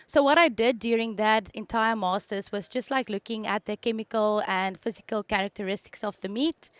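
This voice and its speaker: A woman's voice: mid-range voice, moderate pace, no echo. A woman from South Africa whose first language is Afrikaans, a guest animal scientist chatting casually and telling stories. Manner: chatty storyteller, laughs between stories. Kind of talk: casual chat.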